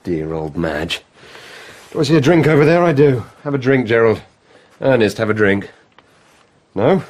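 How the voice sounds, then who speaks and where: An actor playing a cocky, feckless drinker from a genteel family in a radio drama, breezy and sneering. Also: male voice, mid-range voice, animated, moderate pace, darkish tone, natural voice. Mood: breezy, sour.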